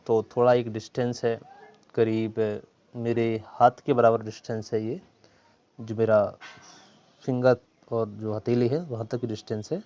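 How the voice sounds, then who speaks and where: A man's voice: mid-range voice, a little mellow, dull audio. A man in a casual product-test talk to viewers, explaining step by step and repeating his points. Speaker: tech reviewer. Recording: noisy amateur recording with ambient background noise.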